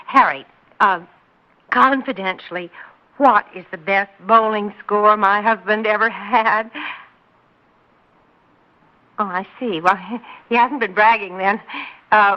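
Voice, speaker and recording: An actress playing a sharp-tongued, suspicious suburban wife in an old radio drama, speaking in a tart, indignant way. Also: female voice, medium pitch, animated, measured pace, pauses often, natural voice. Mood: sly. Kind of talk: 1950s radio drama acting. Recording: dull old radio recording.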